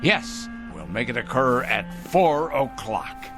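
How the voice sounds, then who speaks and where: A petulant, sanctimonious fanatic in a radio drama. He rants and mutters suspiciously, his pitch lurching up and down as he drags out words slowly. Music sits behind him. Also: big pitch swings. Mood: fanatical scheming. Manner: ranting, drawn-out emphasis, muttering to himself. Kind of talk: theatrical radio drama acting.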